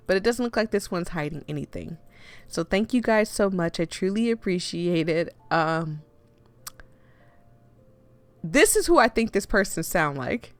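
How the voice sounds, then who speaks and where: A woman hosting a game playthrough with casual, expressive and playful commentary. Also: fairly low pitch. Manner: playful, chatty, expressive reactions. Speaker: host playing a game for viewers.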